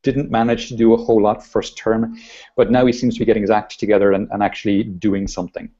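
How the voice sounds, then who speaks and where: Man, show host, mellow dark tone, halting easygoing talk, muffled video call. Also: lowish voice, flat delivery. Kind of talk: casual video chat. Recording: dull lo-fi video call audio.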